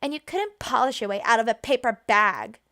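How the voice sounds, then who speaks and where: Woman acting a haughty, mocking older maid, high and theatrical. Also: expressive, pushed and bright. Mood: scolding. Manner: drawn-out, mocking, theatrical scolding. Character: haughty older female maid, smug cruel bully.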